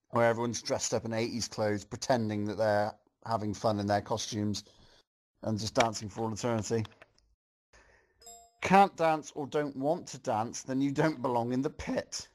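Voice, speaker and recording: A man reading a silly story aloud in an excitable, amused way, with asides of his own. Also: medium pitch, unhurried. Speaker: reader of a fan story on a group show.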